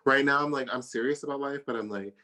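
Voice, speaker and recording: A woman's voice: rumbling low, fast, sharp tone. A woman in her early thirties, a guest in a casual interview, talking in a playful, chatty way and laughing easily. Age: 30s. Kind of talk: casual chat.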